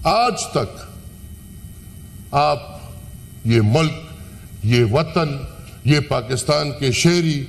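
A man giving an impassioned, rhetorical speech as a senator in a large parliament chamber, pausing at length between phrases. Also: a little high, gravelly. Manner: impassioned, rhetorical, with long pauses. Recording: parliament broadcast with hall reverb.

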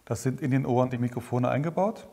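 A man's voice: mid-range voice, fast talker, mellow, long reverb tail. A man working as a sound engineer, explaining recording technology in a methodical way.

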